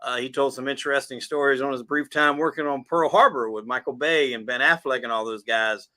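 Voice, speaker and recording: A man's voice: medium pitch, a little fast, sharp tone. A man from South Carolina with a Southern drawl, a podcast host talking as a guest in a loose, profane, casual interview.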